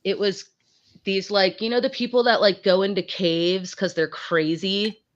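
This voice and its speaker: A woman on a group livestream, excitable and rambling as she describes things. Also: medium pitch, measured pace. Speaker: livestream co-host. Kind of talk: casual group chat. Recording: slightly dull lo-fi sound.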